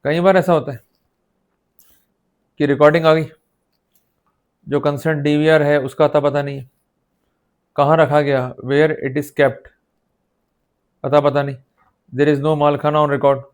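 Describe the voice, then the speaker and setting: A man with an Indian accent and a slightly high voice, speaking as a lecturer. He explains things step by step in an instructive, emphatic way, often posing short questions to the students and mixing in English phrases. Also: level tone, slow pace, long pauses, clean tone. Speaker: law lecturer.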